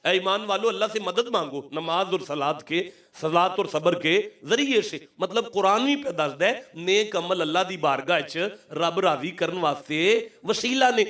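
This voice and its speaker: A man's voice: high voice, moderate pace, hardly any pauses, a bit bright, recorded from a distance. A middle-aged man with a Punjabi accent preaching an Islamic sermon, telling stories, repeating phrases for emphasis and putting questions straight to his listeners. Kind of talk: religious sermon to a congregation, storytelling with calls for audience response.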